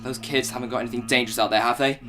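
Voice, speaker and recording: A blustering middle-aged husband in a radio play, played by a woman, with a very low, deep voice. He presses for answers and grows more insistent. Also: fairly even intonation, racing, a bit bright, soft background music. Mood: worried. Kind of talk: student radio drama acting.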